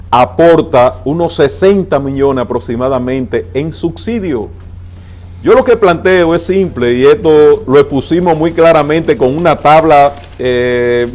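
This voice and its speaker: A man with a Dominican accent, a transport adviser on a TV interview, laying out plans with numbers and slowing down to stress his points. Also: on the high side, darkish tone. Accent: Dominican Spanish. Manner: emphatic, explains with figures, slows down to stress points. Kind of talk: interview on a TV talk show.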